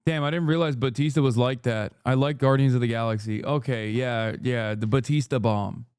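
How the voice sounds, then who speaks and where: A man streaming casual reaction commentary, profane, animated and rambling. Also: medium pitch, fairly quick, clear, resonant tone, no echo, clean studio sound.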